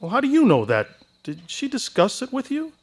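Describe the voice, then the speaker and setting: A man's voice: extremely high pitch, huge pitch swings, brisk, husky, heavily acted. An actor playing a restless, proud young man in a staged radio drama, stammering when shy and heated when angry. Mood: wry.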